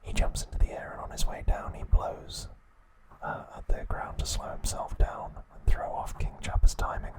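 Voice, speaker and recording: A man narrating ASMR in a hushed, breathy whisper, in short measured phrases. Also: rough recording. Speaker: ASMR host. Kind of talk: whispered ASMR narration, recounting episode summaries.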